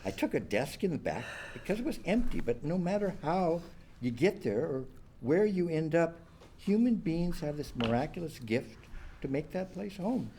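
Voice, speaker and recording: An eccentric, shady older office worker in a sitcom. His fairly high voice swings very widely in pitch, offbeat and philosophical. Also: big pitch swings, moderate pace, warm tone. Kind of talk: sitcom table read, confessional monologue. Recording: faint background noise, live room.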